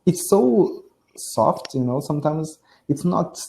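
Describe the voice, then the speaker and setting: A man's voice: on the high side, animated, slow, gravelly, warm tone, a little hiss or hum. A man from Brazil with a Brazilian Portuguese accent, speaking English as a learner in a casual video call, hesitant and often restarting his phrases. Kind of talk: casual online lesson chat.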